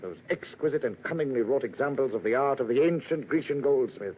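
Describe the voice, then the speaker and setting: A man's voice: medium pitch, expressive, brisk, lightly acted. A man acting as a pompous, insistent importer in a 1940s radio detective drama, speaking in a florid, emphatic way. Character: pompous, insistent male importer, a business client. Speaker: radio actor playing a client. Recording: noisy, narrow old radio recording.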